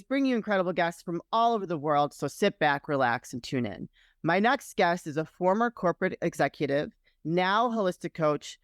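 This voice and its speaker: Woman podcast host, chatty and upbeat, crisp voice, dead-dry mic. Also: fairly low pitch, dry recording. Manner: upbeat, chatty, addresses listeners directly. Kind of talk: casual interview chat.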